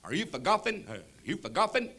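Man doing a goofy, irritable Southern guy, high-pitched. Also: very animated, quick pace. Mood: puzzled drawl. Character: goofy, irritable Southern driver with a thick drawl. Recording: live comedy club, faint room noise.

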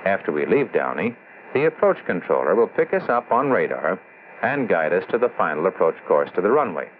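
Veteran air traffic controller for vintage educational film; deep, expressive, explanatory, on a narrow hissy soundtrack. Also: male voice, brisk. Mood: patient, explanatory. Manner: explanatory, matter-of-fact. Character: seasoned male air traffic controller explaining his job. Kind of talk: scripted 1960s educational film dialogue. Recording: muffled old film soundtrack, faint hiss.

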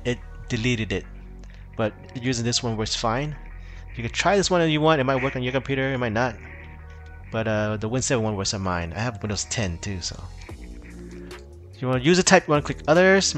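A man's voice: medium pitch, fairly bright tone. A man casually walking viewers through a phone repair tutorial, loose and rambling with frequent restarts. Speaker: tech tutorial host. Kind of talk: casual screen-recorded tutorial.

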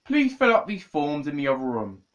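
A man voicing Mister Barnaby, a polite older railway boss with a formal, brisk way of talking. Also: a little high, wildly swooping pitch, fairly bright tone. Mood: businesslike.

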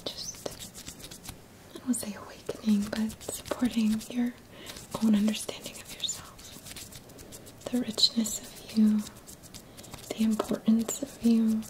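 A woman in her thirties whispers gentle, soothing affirmations as an ASMR energy healer, in a soft, breathy voice close to the mic. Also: on the high side, little pitch movement, background noise. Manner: gentle, slow, deliberate phrasing with pauses. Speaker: ASMR energy healing practitioner. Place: small dim indoor room. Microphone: close mic, seated near the camera.

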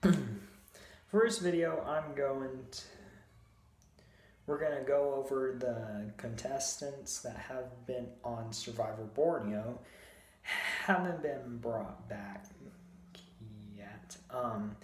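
A young man with a sore, hoarse throat chats casually to a phone camera in a hotel room, hesitant and full of fillers. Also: medium pitch. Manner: hesitant, many fillers and restarts, slow. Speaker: video host. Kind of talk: casual vlog chat. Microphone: phone camera at arm's length. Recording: echoey small room.

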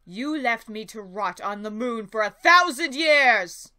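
Cartoon villainess, a dark alicorn, acted by a woman: forceful, imperious, grand and theatrical. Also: on the high side, expressive, unhurried. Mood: menacing, triumphant.

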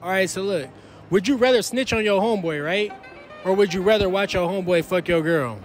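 A young man with a high voice questions passers-by in an indoor mall, talking into a phone he holds out. He teases, laughs a lot and talks in loose slang. Faint music plays in the background. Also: brisk. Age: young adult. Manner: teasing, laughs often, slangy. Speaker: street interviewer. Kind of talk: playful public interview. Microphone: handheld phone held out.

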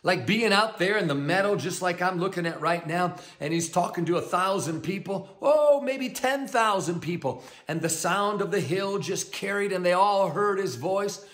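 A male preacher teaching the Bible outdoors in a valley meadow, with an enthusiastic, animated delivery, a loud voice, and frequent laughter. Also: high-pitched, normal speed, hardly any pauses. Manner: self-described loud voice.

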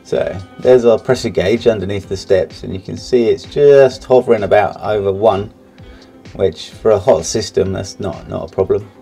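A man in his forties walks through a practical job in a plain, matter-of-fact way. His voice is very deep and mellow, and faint music runs underneath. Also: steady tone, moderate pace, creaky. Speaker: vlogger, co-host. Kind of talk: casual explanatory vlog talk.

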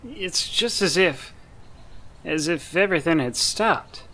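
An actor playing a folksy, excitable, skeptical suburban neighbor in a radio play. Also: male voice, fairly high pitch, takes it slowly, exaggerated voice. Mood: skeptical, uneasy.

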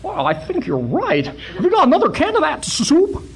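A woman's voice: high voice, huge pitch swings, normal speed, gravelly, full character voice. A woman playing a bewildered father in a spoof 1940s radio advert.

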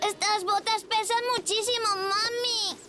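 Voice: very high-pitched, steady tone, measured pace, music in the background. A performer voicing a small four-year-old boy in a cartoon, childlike, with a Castilian Spanish accent, eager and easily scared. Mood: worried. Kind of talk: cartoon dub acting.

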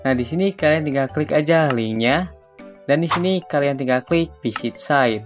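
A man from Indonesia, giving a casual, informal step-by-step software tutorial. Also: fairly high pitch, normal speed. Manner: informal, repetitive step-by-step instructing. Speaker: tutorial host. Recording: music behind the voice. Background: background music.

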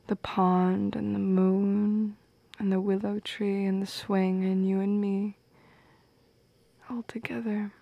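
Woman as shy goth girl for romantic ASMR roleplay. Soft, breathy, unhurried, playful and affectionate, very dry close sound. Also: medium pitch, level tone, dry recording, dull audio. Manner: soft, breathy, often whispering, giggly, lingering pauses.

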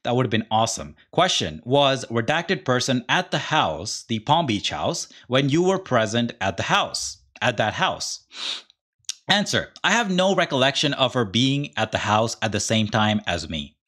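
A middle-aged man reads a court transcript aloud and breaks in with sarcastic commentary. His delivery is indignant and blunt, at an everyday pitch for a man. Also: sing-song, normal speed, pushed and bright. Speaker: online commentator, show host. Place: indoor room in front of a green screen.

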